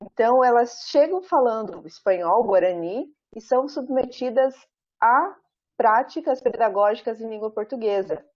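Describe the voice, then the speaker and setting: A Brazilian woman professor giving an academic talk over a video call, speaking in a measured, thoughtful way with frequent pauses and tag questions. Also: fairly high pitch, a little mellow. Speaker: professor presenting her research. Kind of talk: academic talk at an online book launch. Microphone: video call, camera off. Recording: muffled video call audio.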